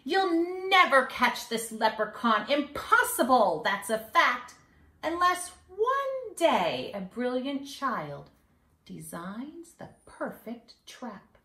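Woman as a high, naughty little leprechaun trickster. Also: big pitch swings, slow pace, sharp tone, echoey room, exaggerated voice. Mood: defiant. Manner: taunting, theatrical, singsong rhymes. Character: naughty, boastful two-hundred-year-old little male leprechaun trickster. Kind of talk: storybook read-aloud. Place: living room at home.

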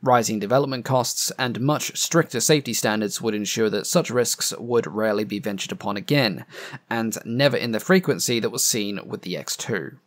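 A man narrating a scripted aviation history documentary in a measured, earnest way, his voice a bit uneven from having lost it. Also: lowish voice. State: voice a bit up and down from losing it.

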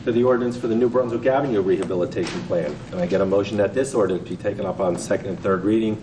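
A man chairing a council meeting, reading procedural motions in a brisk, routine way, recorded in a large council chamber. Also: fairly low pitch. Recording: echoey chamber, far mic, background noise.

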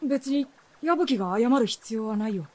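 A woman playing a resolute, cool-headed teenage boy with a husky voice in a radio drama. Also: a little high, lively intonation, normal speed, a little mellow. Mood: firm. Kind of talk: Japanese radio drama acting.

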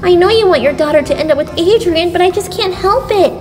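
A woman's voice: extremely high pitch, quick pace. A woman voicing an earnest, awkward, scheming schoolgirl in a cartoon comic dub. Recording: long reverb, music behind.

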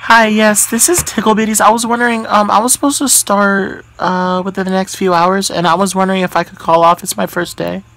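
A man's voice: high voice, little pitch movement, fairly quick, gravelly, hardly any pauses, sharp tone. A man in his twenties making casual prank phone calls, hesitant and falsely polite, with slangy wording. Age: 20s. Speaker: prank caller. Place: small bedroom, indoors. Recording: slight background noise, close home recording.

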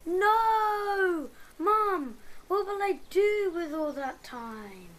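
Woman doing a little kid in a radio drama, really high voice, pleading and whiny. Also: animated, very slow, heavily acted. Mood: protesting. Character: young child attached to a beloved toy. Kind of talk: amateur radio drama acting.

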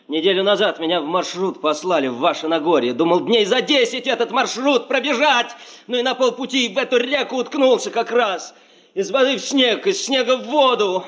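Husky, stubborn young geologist. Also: male voice, sits high, a little fast, a bit bright. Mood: stubborn, urgent. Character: sick, stubborn young male geologist, dutiful, determined. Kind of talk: Soviet radio drama acting. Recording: reverberant 1970s radio play studio recording.

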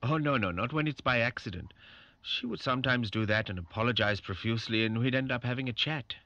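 An actor playing a polite, sympathetic alarm operator in a radio drama, heard through an intercom speaker. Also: male voice, mid-range voice, fairly quick, sharp tone, dry recording, lo-fi sound. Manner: polite, solicitous. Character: Paul, courteous, sympathetic male alarm-company call operator.

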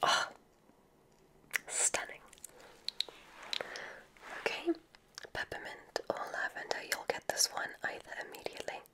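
Slow whispering witch examiner played by a woman. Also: close to an everyday voice. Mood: soothing, encouraging. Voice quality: breathy whisper. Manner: slow, soothing, gently enthusiastic, deliberate pauses. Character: encouraging female witch examiner at a magic school. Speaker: ASMR roleplay performer. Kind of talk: whispered ASMR medical exam roleplay.

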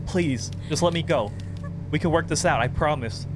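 A man's voice: moderate pace, over music, natural voice. A man voicing a frightened captive woman from a horror game, pleading desperately. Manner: pleading, higher-pitched. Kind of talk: reading horror game dialogue aloud.

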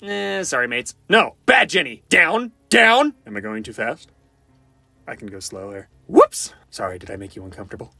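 A man's voice: mid-range voice, huge pitch swings, moderate pace, gravelly, bright, forceful tone, no room sound, pitched below the performer's own voice, full character voice. A man voicing a cocky, flirty, goofy wisecracking survivor for fan-made video game lines, in a playful, theatrical, quippy manner. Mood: sheepish, teasing. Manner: playful, theatrical, quippy, often sing-song.

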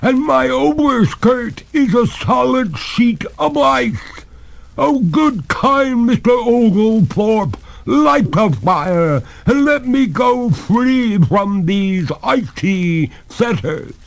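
A man's voice: high-pitched, sing-song, extremely slow, a little mellow, voice pushed up, heavily acted. A man voicing a genteel, haughty lady water ghost in a storybook, pleading formally and melodramatically in despair.

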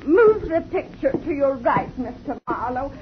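A fretful, tearful neighbour. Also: female voice, high voice, very animated, unhurried. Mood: anxious. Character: anxious, fluttery woman, a grieving neighbour. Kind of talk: 1950s radio drama acting. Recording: dull vintage radio broadcast with faint hiss.

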